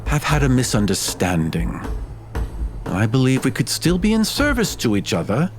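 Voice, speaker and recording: A man's voice: medium pitch, big pitch swings, moderate pace, over music. A man voicing a wily, opportunistic soldier in a tabletop game, choosing formal and persuasive words. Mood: wily bargaining. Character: wily, opportunistic male soldier bargaining for his life.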